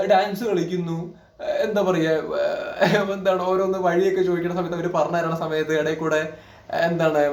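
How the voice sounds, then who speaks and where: A man's voice: high-pitched, a little mellow. A man giving opinionated reaction commentary in an indignant, scolding, emphatic manner full of rhetorical questions. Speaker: reaction video creator. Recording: echoey room.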